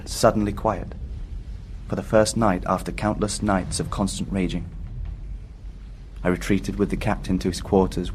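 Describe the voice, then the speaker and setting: An actor playing a haunted seafarer recounting a doomed voyage in solemn, scripted first-person horror narration. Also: male voice, deep voice, darkish tone, slight background noise, lightly acted. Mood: sombre. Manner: solemn, literary storytelling.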